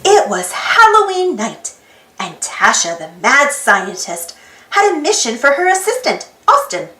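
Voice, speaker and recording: Animated, very high-pitched woman reading stories. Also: measured pace. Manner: expressive, theatrical, exaggerated delivery. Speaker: storybook read-aloud channel host. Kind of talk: animated children's storybook read-aloud. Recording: roomy echo with music behind. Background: music.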